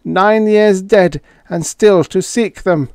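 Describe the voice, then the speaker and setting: A man voicing a frantic, hissing alien who raves in a shrill, high voice. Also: slow pace, darkish tone, smooth, no room sound. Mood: agitated. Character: frantic hissing alien male, fearful and dramatic.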